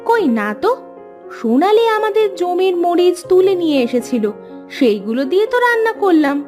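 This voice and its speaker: A woman's voice: extremely high pitch, big pitch swings, normal speed, darkish tone. A woman voicing a homely village mother in a cartoon, with an exclamatory manner. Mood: puzzled. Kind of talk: Bengali cartoon dubbing. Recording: music behind the voice.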